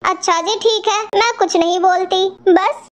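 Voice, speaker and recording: A woman's voice: very high voice, fairly even intonation, a little slow. A woman voicing a chatty, grumbling married female pigeon in a cartoon story. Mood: exasperated. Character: complaining married female pigeon housewife with big house. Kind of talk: Hindi cartoon story dubbing.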